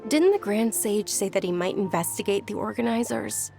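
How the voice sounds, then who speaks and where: A woman playing a gentle, frail young noblewoman in a video game, polite and restrained as she hides her weariness. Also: medium pitch, expressive, moderate pace, creaky, music in the background. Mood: resigned. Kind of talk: dramatic video game voice acting.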